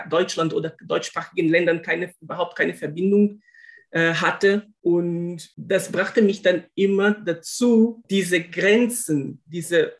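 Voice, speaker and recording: A man with a notably high voice speaks German as an in a conversational interview. He is thoughtful and searches for his words, often restarting phrases. His carries traces of Portuguese. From Brazil. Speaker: guest, linguist and German teacher.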